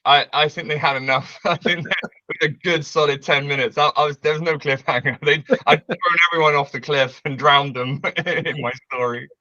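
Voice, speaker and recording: A man, telling a story as a guest on a video call, loud and brash, laughing often. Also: on the high side, fairly even intonation, normal speed, dry recording, lo-fi sound. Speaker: interview guest. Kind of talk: casual storytelling. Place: bright home room with large windows.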